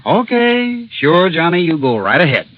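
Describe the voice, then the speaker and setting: An actor playing a practical, protective American police lieutenant in classic radio drama, speaking in a brisk, plain-spoken way. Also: male voice, fairly high pitch, very animated. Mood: firm, skeptical. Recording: noisy, muffled vintage radio recording.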